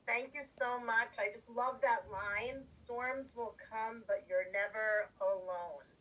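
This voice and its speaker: A woman's voice: fairly high pitch, slow pace, a bit bright. A woman teacher hosting a class event, speaking to young children in simple, encouraging, explanatory terms. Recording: distant mic, faint background music.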